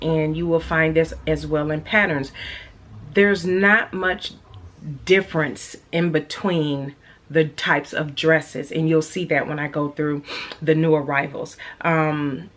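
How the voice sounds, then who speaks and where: A woman with a low, deep speaking voice talks to her sewing channel in a relaxed, chatty way. A cold leaves her sounding a bit nasal. She lingers on words she loves and fills gaps with ums and uhs. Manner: chatty, unhurried, drawn-out emphasis, many fillers. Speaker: sewing vlogger. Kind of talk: casual chat to camera.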